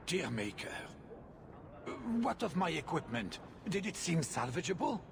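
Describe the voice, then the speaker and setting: An actor playing a greedy, self-pitying mine owner in a video game, lamenting in a theatrical manner. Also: male voice, a little high, very animated, unhurried, gravelly, background noise, exaggerated voice. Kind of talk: dramatic video game dialogue.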